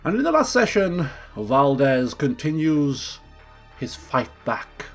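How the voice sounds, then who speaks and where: A man hosting a casual gameplay commentary, thinking aloud and correcting himself as he goes, his voice a little croaky from just waking up. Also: on the high side, very animated, slow pace, faint music behind. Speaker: let's play host.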